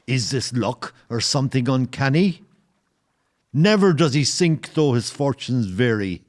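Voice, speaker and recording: A man as a very slow, solemn storyteller. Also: mid-range voice, animated, a little mellow, natural voice. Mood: wondering. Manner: measured, literary, grave. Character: solemn storyteller narrator of old sea tale. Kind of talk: scripted dramatic narration.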